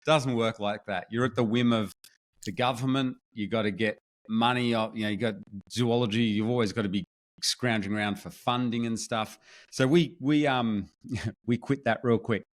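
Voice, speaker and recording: A man in his fifties with an Australian accent, a podcast guest telling his story in a friendly, relaxed way. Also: medium pitch, moderate pace. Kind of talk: casual interview chat.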